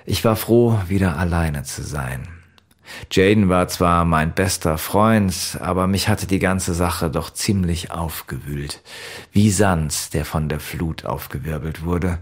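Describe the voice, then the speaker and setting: A man narrating a first-person story from a script in a measured, wistful way. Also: sits low. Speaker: audiobook narrator.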